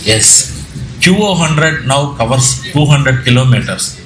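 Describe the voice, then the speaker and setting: A man with an Indian Telugu accent giving an informal slide presentation, speaking haltingly with repeated words and self-corrections. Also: medium pitch, lively intonation. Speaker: presenter, amateur radio operator and trainer. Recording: echoey room with background noise.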